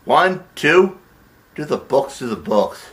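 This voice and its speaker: Scheming male theatrical producer, acted by a man: forceful, blustering, bossy, broad comedy drama. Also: fairly high pitch, very animated, slow pace, full character voice.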